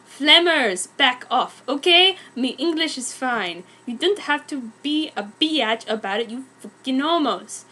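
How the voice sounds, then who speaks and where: A woman's voice: high voice, lively intonation, a little slow, hardly any pauses, sharp tone, heavily acted. A woman voicing a vain, melodramatic teenage goth vampire girl in an overdramatic, bratty storybook narration. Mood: defiant, bratty. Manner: overdramatic, self-important, bratty.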